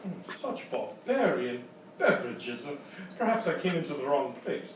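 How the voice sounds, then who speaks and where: A man's voice: fairly high pitch, sing-song, moderate pace. A man playing a timid, genteel and fussy city dandy in a live radio-style comedy sketch. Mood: prissy. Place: live performance in a hotel venue. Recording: very echoey, noisy live stage, distant mic.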